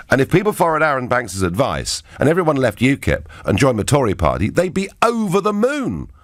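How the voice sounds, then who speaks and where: A man's voice: medium pitch, a bit bright, dry recording, studio quality. A middle-aged man hosting a radio phone-in show, talking in an emphatic, opinionated way with wry asides. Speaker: radio talk show host.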